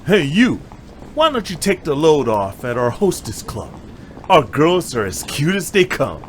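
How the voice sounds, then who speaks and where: A man's voice: fairly high pitch, big pitch swings, unhurried, a little hiss or hum. A man playing a sly, money-minded hostess club tout and former fighter in an amateur anime-style drama, smug, teasing and given to philosophizing. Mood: pitching, intrigued.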